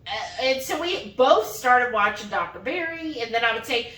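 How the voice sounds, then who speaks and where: A woman's voice: fairly high pitch, normal speed. A woman telling a personal story casually as a vlog host, animatedly acting out the dialogue as she retells it. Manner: animated retelling, often quoting dialogue in acted voices. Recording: roomy echo, distant microphone.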